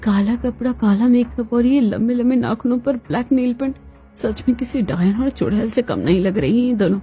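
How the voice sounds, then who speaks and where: A woman voicing a put-upon young bride in a family cartoon, expressive and dutiful, given to muttering. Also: a little high, moderate pace, soft background music, natural voice. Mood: exasperated.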